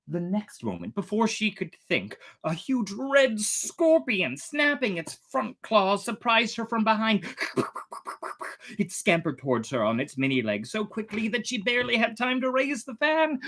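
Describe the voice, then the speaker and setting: An American storytime host who speaks expressively, moving between dramatic narration and chatty, casual asides. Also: medium pitch. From United States, Oklahoma. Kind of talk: animated storytime reading with casual fun-fact asides.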